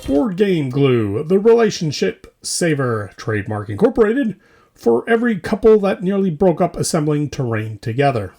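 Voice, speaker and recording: Man, comedic news host, scripted, lively swooping intonation, husky edge, tongue-in-cheek, short punchy phrases. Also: a little high, moderate pace, faint music behind. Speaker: host of a tabletop wargaming hobby news podcast. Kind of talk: scripted comedic news roundup with mock commercials.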